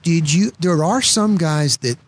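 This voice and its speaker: A man's voice: a little high, lively intonation, unhurried. An American man chatting casually as an interview host. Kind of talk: casual chat.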